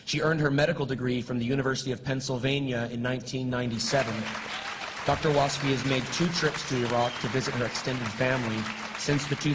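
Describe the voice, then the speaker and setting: A young man reading out a scripted biographical introduction of a speaker. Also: mid-range voice. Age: young adult. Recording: noisy crowd ambience behind. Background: crowd and background noise.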